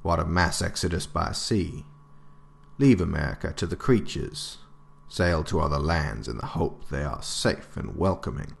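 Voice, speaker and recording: A man playing a stern government director in an audio drama. His voice is extremely deep with a gravelly creak, and he delivers formal, oratorical narration with grave, emphatic authority. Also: expressive, measured pace, heavily acted. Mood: scornful, defiant. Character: stern, resolute male government director writing a survival handbook.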